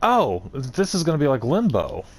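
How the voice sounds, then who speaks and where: A man's voice: medium pitch, huge pitch swings, moderate pace. A man hosting a gaming video, giving casual playthrough commentary and muttering reactions as he plays.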